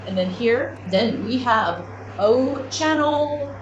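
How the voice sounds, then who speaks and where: A woman giving a step-by-step spoken product tutorial as a demonstrator, with halting, repetitive phrasing. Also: fairly high pitch, expressive, dull audio. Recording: clearly noisy room ambience.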